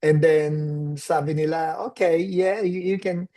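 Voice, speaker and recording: Casting: Filipino-accented man, late sixties, slightly higher voice, reflective interview guest. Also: steady tone, a little slow, warm tone. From Philippines. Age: 60s. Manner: reflective storytelling, mixes in Tagalog phrases. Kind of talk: casual interview conversation.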